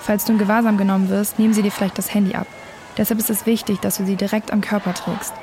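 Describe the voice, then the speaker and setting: Young actress as caring female protester, medium pitch, casual and reassuring, audio drama, noisy outdoor backdrop. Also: level tone, quick pace, darkish tone, natural voice. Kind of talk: naturalistic audio drama acting.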